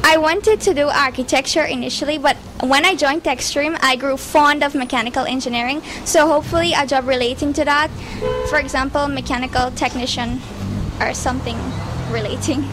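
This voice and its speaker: A woman's voice: high voice, brisk, background noise. An eighteen-year-old woman, answering interview questions earnestly into a handheld mic. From Guyana. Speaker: engineering student, interviewee.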